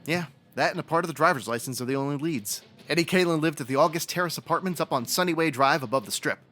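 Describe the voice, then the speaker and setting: An actor playing a matter-of-fact police sergeant in an old-time radio detective drama, with a dry, procedural, folksy way of talking. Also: male voice, fairly high pitch, fast talker, pushed and bright.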